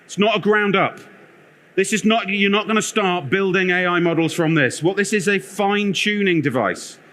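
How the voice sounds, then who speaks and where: A man with a loud, bright voice presents on a conference stage, pitched a little high for a man. He talks in an energetic, joking way, wandering about as he explains. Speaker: conference speaker, product lead. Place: conference theatre.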